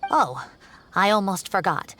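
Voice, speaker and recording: A woman's voice: mid-range voice, lively intonation, measured pace, sharp tone. An actress playing a kindly, fretful older woman professor who exclaims and fusses, in a video game. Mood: worried. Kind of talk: dramatic video game voice acting.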